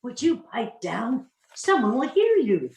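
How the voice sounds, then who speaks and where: A woman's voice: on the high side, very animated, unhurried, a little mellow. Echoey, bossy lady burglar acted by a woman. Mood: sardonic, wary. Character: bossy, grumbling big-sister burglar, cautious and sardonic. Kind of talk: comic old-time radio play acting. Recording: echoey, roomy pickup.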